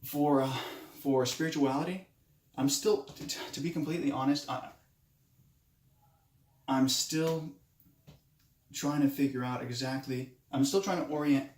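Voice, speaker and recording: A man in his late twenties, from Moldova with Romanian as his first language, giving a halting self-introduction speech for a class, with many restarts. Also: mid-range voice, fairly even intonation, reverberant. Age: 20s. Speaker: student.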